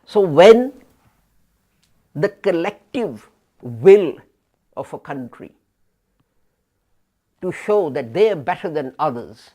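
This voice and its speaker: Middle-aged imam, slow measured sermon voice, builds emphasis then drops off. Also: male voice, on the high side, sing-song, mellow. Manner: deliberate, slow preaching with rising emphasis and pauses. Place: small studio before a printed backdrop. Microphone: fixed camera, head and shoulders framing.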